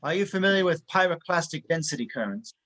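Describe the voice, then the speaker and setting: A man playing a stern, dry-witted spy instructor in an amateur table read, crisp and matter-of-fact. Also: a little high, lively intonation, fast, bright, forceful tone.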